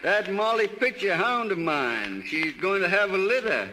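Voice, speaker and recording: A man's voice: high voice, big pitch swings, unhurried, background noise. An older man playing a grizzled old farmer with a rural American accent in a 1930s radio drama, folksy and stubborn.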